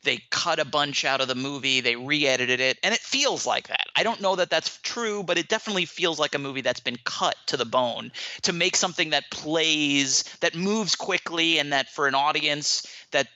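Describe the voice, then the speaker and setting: Male film critic, slightly high and very bright, forceful voice, emphatic casual discussion, close dry sound. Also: moderate pace, hardly any pauses, dry recording, muffled recording.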